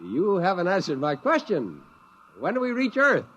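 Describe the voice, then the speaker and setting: A man's voice: sits high, huge pitch swings, moderate pace, fairly bright tone, highs cut off, heavily acted. A man playing an exasperated spaceman, emphatic and wry, in a 1950s radio drama. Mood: alarmed. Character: exasperated spaceman narrating his kidnapping by a robot.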